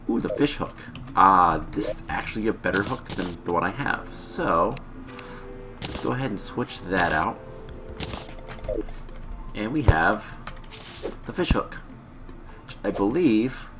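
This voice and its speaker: A man's voice: on the low side, pushed and bright. A man hosting a casual let's-play, rambling with many fillers and sounding slightly stuffy from a cold. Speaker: gaming channel host. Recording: voice with game music behind. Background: game music.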